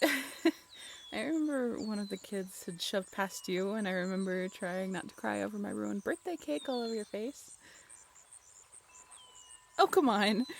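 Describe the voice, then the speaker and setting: A woman's voice: medium pitch, gravelly, a little hiss or hum. A young woman playing a playful, tough-talking but shy writer in an intimate, chatty audio roleplay. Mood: gentle, reminiscing. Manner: chatty, teasing, giggly.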